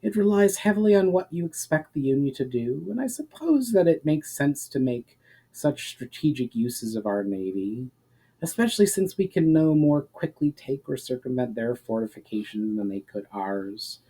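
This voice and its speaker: A candid noblewoman from a fantasy storybook, as a man reads her. The speech is formal and deliberate, with a mellow tone and frank judgement. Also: medium pitch, lively intonation, husky, rough recording. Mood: cool, candid. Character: candid, measured noblewoman adviser in a fantasy tale.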